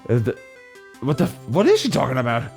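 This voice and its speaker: Male amateur game reader, animated pitch, hesitant and giggly, tossing off foul-mouthed comic asides. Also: fast, gravelly, faint music behind. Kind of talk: improvised comic dramatic reading.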